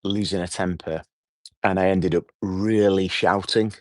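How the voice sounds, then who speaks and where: A middle-aged man with a hoarse voice, hosting a relaxed, rambling evening livestream outdoors and chatting with his viewers. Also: sits low, a little slow, dry recording, studio quality. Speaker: livestream host. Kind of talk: casual evening livestream chat. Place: outdoors at night.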